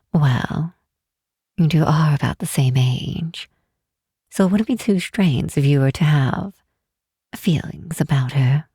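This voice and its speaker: A woman playing a proud, doting and protective demon queen mother in an intimate roleplay, speaking softly in a measured, stately, gently teasing way. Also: sits low, husky, no room sound, studio quality, exaggerated voice. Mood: warm, teasing. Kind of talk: roleplay ASMR, soft intimate acting.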